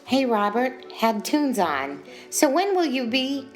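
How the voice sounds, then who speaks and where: Woman acting a bubbly, flustered young woman, high-pitched. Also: slow pace, soft background music, exaggerated voice. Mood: playful, giggly. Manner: playful, excitable, speaking as if on phone.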